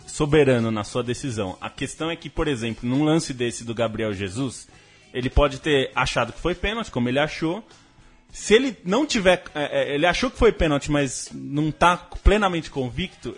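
A man from Brazil on a sports talk panel, explaining rules in a measured way. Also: mid-range voice, fairly bright tone, slightly rough. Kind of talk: casual sports chat.

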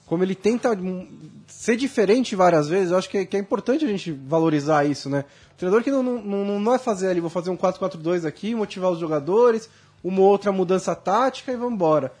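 A man from Brazil talking football in a casual debate, animated and full of restarts. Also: high-pitched, husky. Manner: fast, animated, many restarts. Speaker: football pundit.

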